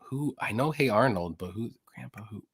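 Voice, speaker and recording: A man in his late thirties hosting a casual live stream, chatty and quick to greet viewers by name. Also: on the low side, animated, breathy edge. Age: 30s. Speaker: host of a betting picks show.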